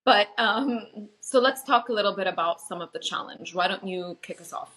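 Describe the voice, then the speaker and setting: A woman co-hosting a casual podcast from home over a video call, with a light American-tinged accent, chatty and quick to laugh. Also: mid-range voice, fairly bright tone, rough recording. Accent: light American-tinged English. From Lebanon. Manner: chatty, fillers and restarts, laughs often. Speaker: podcast co-host. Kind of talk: casual chat.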